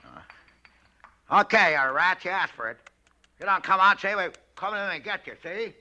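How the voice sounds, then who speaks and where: Swaggering 1940s radio gangster, high-pitched and piercingly forceful, clipped threats, faint music under. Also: male voice, normal speed, highs cut off. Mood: snarling, tough. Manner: clipped tough-guy talk, tagging orders with "see?". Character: swaggering, menacing movie gangster, a clerk's daydream self.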